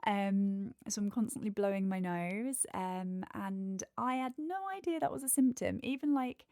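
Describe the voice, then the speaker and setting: A woman's voice: medium pitch, normal speed, a little mellow, dry recording, clean studio sound. A congested woman with a nasal voice, hosting a candid, chatty solo podcast and working through a numbered list. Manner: candid, chatty, lists points one by one. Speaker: podcast host. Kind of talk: casual solo podcast monologue.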